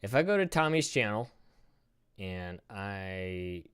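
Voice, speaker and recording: A man in his thirties hosting a casual live stream, reacting in a rambling, profane, animated way. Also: on the low side, slow, smooth. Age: 30s.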